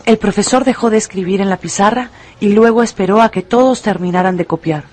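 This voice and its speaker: A woman narrator telling a story for a radio drama in a measured, expressive way. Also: mid-range voice.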